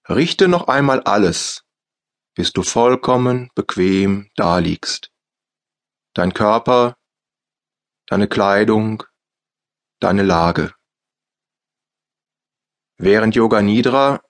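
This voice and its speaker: A German man guides a deep relaxation practice in a hushed, unhurried voice. He speaks in short calm phrases, leaving long pauses between them. His voice sits a little low and never rushes. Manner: slow, soft, calm instructions with long pauses. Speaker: meditation guide. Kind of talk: guided yoga nidra meditation.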